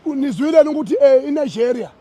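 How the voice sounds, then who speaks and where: A man's voice: very high voice, lively intonation, quick pace, warm tone. A man with a South African accent in a heated public confrontation, agitated and stammering over repeated words. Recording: noisy crowd around the speaker. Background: crowd of voices.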